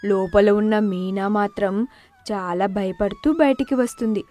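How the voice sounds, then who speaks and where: A woman's voice: mid-range voice, unhurried, warm tone, faint music behind. A woman narrator reading a scripted moral story.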